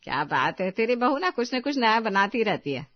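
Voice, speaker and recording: A woman's voice: on the high side, sing-song, moderate pace, no echo, muffled recording. A woman playing a kindly, indulgent middle-aged mother-in-law in story dialogue. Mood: pleased.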